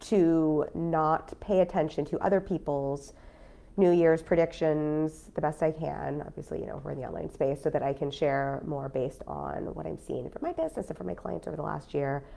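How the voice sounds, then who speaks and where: A business coach with a cold, talking casually on a live stream in a nasal, stuffy voice with lots of fillers. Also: female voice, low voice, normal speed, vocal fry, mellow. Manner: chatty, frank, many fillers. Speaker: business coach and podcast host.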